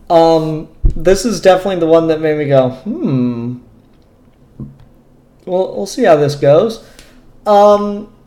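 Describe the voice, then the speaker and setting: Male comic reviewer, slightly high voice, chatty and opinionated, rambling enthusiasm, noticeably roomy sound. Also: darkish tone. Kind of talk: casual review commentary, reading comic dialogue aloud.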